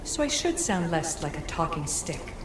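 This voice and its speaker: Video game role: lowish-voiced ancient female hologram, formal and measured, echoey with background noise. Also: big pitch swings. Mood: solemn. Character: ancient female Isu hologram guide, composed.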